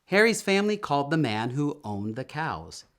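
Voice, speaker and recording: A man reading a children's story aloud in an expressive, emphatic storytelling manner, drawing out words. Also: a little high, moderate pace. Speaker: storytime reader.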